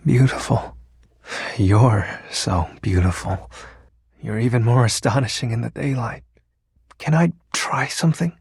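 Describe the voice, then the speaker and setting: A man's voice: medium pitch, slightly rough, no room sound, heavily acted. An actor voicing a shy, sentimental, lonely young male shadow in a video game, speaking hesitantly and earnestly. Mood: awed, tender. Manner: hesitant, soft-spoken, earnest. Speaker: datable game character. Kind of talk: video game character voice acting.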